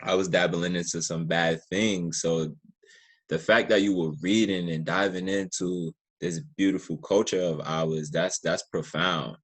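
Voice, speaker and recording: A man's voice: sits low, steady tone, a little slow, breathy edge. An American man hosting a conversational interview in an encouraging, affirming way. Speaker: podcast host, interviewer.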